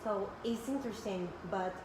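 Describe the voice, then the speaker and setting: A woman's voice: mid-range voice, moderate pace, background noise. Woman recruiter in an echoey room. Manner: asks questions, some slips and restarts. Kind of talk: mock job interview.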